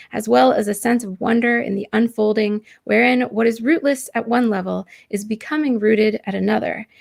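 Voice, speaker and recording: A woman's voice: fairly high pitch, gravelly. A woman hosting an online poetry interview, introducing writers and putting questions to them. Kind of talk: introductions read out, then conversational questions. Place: live online video call.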